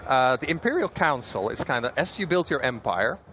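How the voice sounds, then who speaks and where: A man explaining game features in a casual booth interview, relaxed and full of fillers and restarts. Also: medium pitch, brisk, background noise. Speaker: game developer guest. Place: busy outdoor convention booth.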